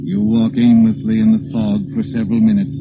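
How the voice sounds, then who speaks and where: An actor playing an omniscient, sardonic narrator of a 1940s radio mystery, taunting a guilty man in an ominous, knowing, deliberate way. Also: on the low side, monotone, close to an everyday voice. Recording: dull old radio recording with music behind.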